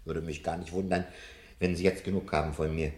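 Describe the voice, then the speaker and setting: An actor playing a sly, fervent old professor in a 1970 radio play, theatrical and often dropping into breathy, conspiratorial whispers. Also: male voice, a bass voice, flat delivery, brisk, a little mellow. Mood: sly, self-mocking. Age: older.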